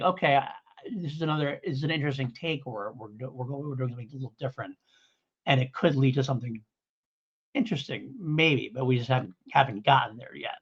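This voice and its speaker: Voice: medium pitch, a bit bright, slightly rough. A podcast co-host in a casual review discussion, talking in a hedging, rambling way and thinking aloud. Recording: dull lo-fi audio.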